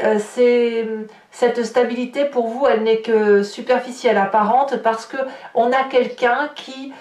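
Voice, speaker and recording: Woman, slightly high voice, tarot guidance monologue, halting delivery with restarts, echoey room. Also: a little mellow. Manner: halting, searching for words with repeated restarts. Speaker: tarot card reader. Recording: roomy echo, distant from the mic.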